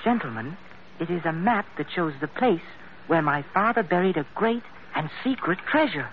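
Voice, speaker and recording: A man's voice: fairly high pitch, big pitch swings, normal speed. A male actor playing an eager, earnest runaway boy in an old radio drama, polite and hesitant. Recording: tinny, noisy old radio recording.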